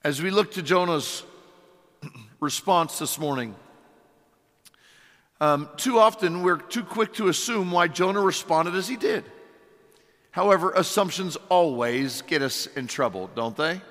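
A middle-aged man preaches in a church sanctuary, his voice worn hoarse and strained. He speaks high and bright, leaning on earnest rhetorical questions. The hall behind him rings with a long echo. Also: lively intonation, a little slow, long pauses. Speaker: pastor. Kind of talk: sermon.

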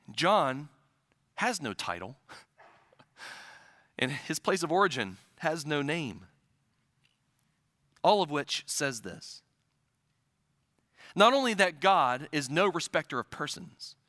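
A man's voice: fairly high pitch, sharp tone, dry recording, clean studio sound. A man from the Midwest preaching a sermon, building to urgent, emphatic repetition. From American Midwest. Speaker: pastor.

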